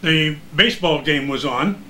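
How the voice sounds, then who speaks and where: An older man with a high, bright voice tells casual anecdotes from his broadcasting days. He is animated and acts out remembered exchanges, with clear background noise in the room. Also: unhurried. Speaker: retired radio broadcaster recalling his career.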